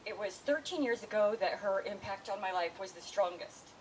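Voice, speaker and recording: A woman reading a prepared, heartfelt tribute at a memorial in an earnest, steady way. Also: mid-range voice, moderate pace. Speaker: memorial speaker. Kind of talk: prepared tribute read aloud. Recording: distant mic with faint hiss.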